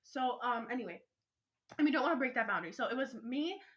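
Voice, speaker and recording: A young teenage girl with a stuffy nose, chatting and telling stories casually as a podcast co-host in an excitable, rambling way. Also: a little high, fast talker.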